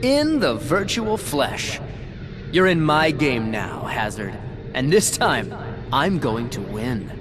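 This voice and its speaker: An actor playing a smug corporate villain in a video game, taunting and pompous in a theatrical way. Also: male voice, mid-range voice, sing-song, slow, crowd noise. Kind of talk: video game cutscene acting.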